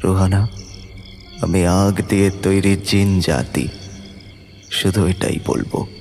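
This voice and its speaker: A man playing a centuries-old djinn in love, speaking slow, solemn, poetic lines in an audio horror drama. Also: low-pitched, fairly even intonation, darkish tone. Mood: solemn yearning. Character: lovesick centuries-old male djinn made of fire. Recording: long echoing reverb, music behind.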